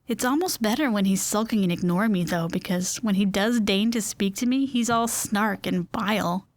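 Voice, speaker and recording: Woman, bubbly chatty host, fictional radio program, mid pitch, very dry close sound. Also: moderate pace, dry recording. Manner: bubbly, chatty, rambling asides. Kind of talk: scripted audio drama narration and monologue.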